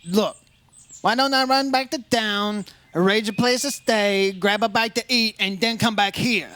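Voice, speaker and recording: A man's voice: sits high, a little slow, sharp tone. A man playing a straight-talking, inquisitive insurance investigator in an old-time radio detective drama, in plain, clipped phrases. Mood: probing, casual.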